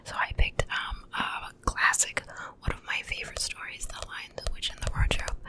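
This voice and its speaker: A woman softly whispering ASMR ear to ear into a close mic, breathy and unvoiced, gentle and unhurried like a bedtime reader. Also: rough recording. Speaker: ASMR host reading a bedtime story. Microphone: close stereo mic, ear to ear.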